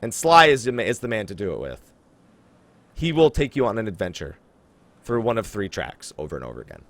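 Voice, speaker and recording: A man hosting a casual gaming podcast, talking in an animated way and exaggerating for emphasis. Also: fairly low pitch, a little fast, vocal fry. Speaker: podcast host. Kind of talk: casual game podcast chat.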